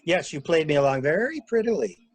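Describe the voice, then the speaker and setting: A man acting a sharp, sardonic stranded traveler who probes insistently, in a dramatic mystery reading. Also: a little high, lively intonation, fairly quick, husky, no echo. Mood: angry accusation. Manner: probing, insistent, theatrical. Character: stranded male traveler turned sharp, sardonic amateur interrogator.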